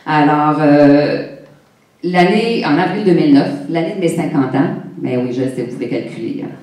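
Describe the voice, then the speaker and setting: A woman's voice: low voice, moderate pace, mellow, far from the mic. Québécois woman in her fifties, echoey hall. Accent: Québécois French. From Quebec. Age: 50s. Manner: candid, humorous, addresses the audience directly. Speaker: conference speaker. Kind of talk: motivational personal story talk. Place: large hall with an audience.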